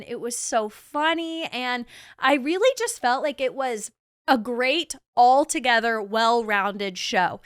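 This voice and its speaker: A woman's voice: sits high, a bit bright, no room sound, studio quality. A woman in her twenties hosting a podcast on her own, chatting in a gushing, casual way and saying "like" a lot. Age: 20s. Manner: gushing, chatty, heavy use of "like". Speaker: podcast host. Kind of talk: casual solo podcast chat.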